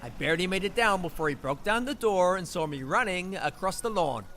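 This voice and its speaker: A man voicing a cocky, excitable young street hooligan who talks in slang for an audiobook. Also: sits high, a little fast, slight background noise, pitched above the performer's own voice. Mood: defensive. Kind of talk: audiobook character voice.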